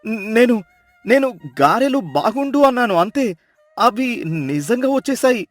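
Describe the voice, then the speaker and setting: Guy doing a poor greedy village husband in a storybook, excitable, bouncy pitch. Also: unhurried, no echo, faint music behind, heavily acted. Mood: flustered. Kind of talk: storybook drama acting.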